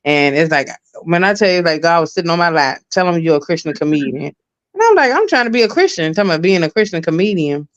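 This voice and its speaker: Smooth-voiced woman, dry and a little muffled. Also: on the low side, expressive, quick pace, no echo. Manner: chatty, down-to-earth, slangy. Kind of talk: casual storytelling chat.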